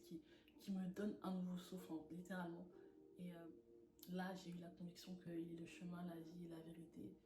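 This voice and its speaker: A young woman from France sharing her personal faith testimony in an earnest, animated way, laughing often. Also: on the low side. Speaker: testimony speaker. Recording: echoey room with noise.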